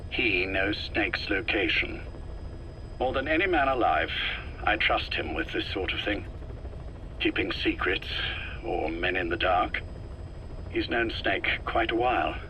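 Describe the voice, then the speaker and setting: Casting: older, sickly spy chief for a game. Coolly polite yet threatening, deliberate, bright forceful tone, faintly noisy recording. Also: male voice, on the low side. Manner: measured, deliberate, politely menacing. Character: ailing, calculating older male intelligence chief, calm and controlling. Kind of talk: scripted game dialogue, dramatic acting.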